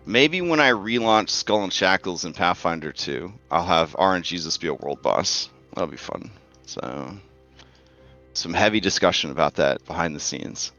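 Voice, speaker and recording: A man's voice: low voice, expressive, moderate pace, bright, forceful tone, dull audio, soft background music. A man in his forties, the game master, narrating a tabletop session in a chatty, joking way with many fillers. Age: 40s.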